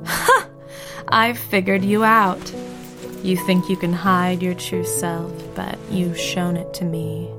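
An actress playing a proud, bitter captive wife in an audio drama, speaking in a deliberate, defiant manner. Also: female voice, on the low side, level tone, over music. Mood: scornful.

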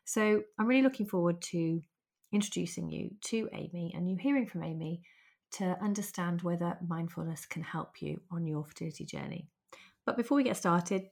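A woman hosts a podcast in a chatty, lively way that moves between bright and hushed. Her voice is low-pitched with a croaky, gravelly texture, as she is still getting over losing her voice. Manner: chatty, lively, laughs easily. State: hoarse, recovering from lost voice. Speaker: podcast host. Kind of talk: casual podcast interview.

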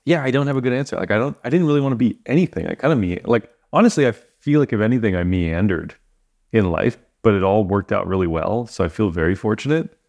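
A man with a somewhat low voice chats as a podcast guest. He is informal and self-deprecating, often stopping and rephrasing as he goes. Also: animated, fast, creaky, a little mellow. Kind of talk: casual chat.

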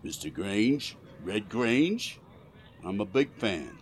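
A man's voice: lowish voice, big pitch swings, slow, slightly rough, heavily acted. An actor playing a slangy 1920s gangster henchman, a tough guy in a period radio drama. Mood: smug. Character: Chicago gangster henchman, Scruffle, dim baseball-loving tough. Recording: loud vehicle noise behind the voice.

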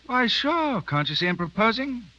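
A hot-headed, sardonic British lieutenant of colonial India in a vintage radio play. His voice is very bright and forceful, with lively swings, on a dull old recording. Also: very high-pitched, normal speed, exaggerated voice. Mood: mocking. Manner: blunt, sardonic, emphatic. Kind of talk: 1930s radio drama acting. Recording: dull vintage 1930s radio, music behind.

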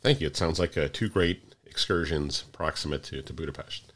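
A man interviewing a guest, asking questions in a polite, measured way. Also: very deep voice, fairly bright tone.

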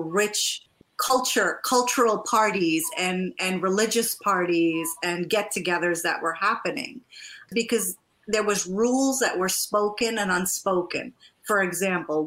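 A woman's voice: fairly low pitch, measured pace, fairly bright tone, rough recording. A woman from Trinidad who grew up partly in the US, talking as a coach and interview guest in an effusive, personal storytelling way. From born in Trinidad, moved to the US at about eight. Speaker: guest, life and divorce coach.

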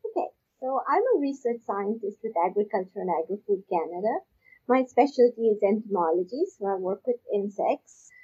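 Woman from pakistan, husky, stop-start interview chat, repeats words, kinda dull lo-fi sound. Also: mid-range voice, dark tone. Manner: halting, repeats words and trails off. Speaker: guest, agricultural research scientist. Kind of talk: conversational interview answers. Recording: dull, lo-fi audio.

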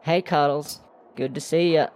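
A man playing a calm, matter-of-fact prison tattooist in a comedy audio drama, speaking in a dry, understated way. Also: on the high side, moderate pace, a little hiss or hum, lightly acted.

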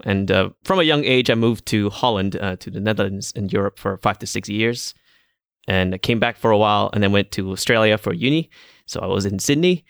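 A young Malaysian man whose first language is Iban, speaking in a relaxed, measured way as a podcast guest. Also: fairly low pitch, smooth, dry recording, studio quality. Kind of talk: casual interview.

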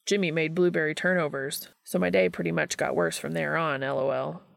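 Woman reading as a cheeky, creaky-voiced geeky guy. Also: sits low, steady tone, a little fast, dry recording. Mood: flirty. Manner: casual, slangy, teasing.

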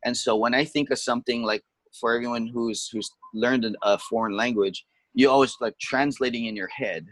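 A man's voice: medium pitch, fairly even intonation, brisk, no room sound, low-quality recording. Man, casual storytelling, dry close sound. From Hawaii. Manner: warm storytelling, explains words patiently. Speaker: guest, touring musician. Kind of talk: casual conversation. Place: home, during lockdown.

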